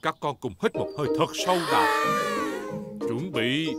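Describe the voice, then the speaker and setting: A man's voice: on the high side, very animated, moderate pace. A man voicing a jolly, helpful father pig in a children's cartoon dub, with a southern Vietnamese accent. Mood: encouraging. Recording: music behind the voice.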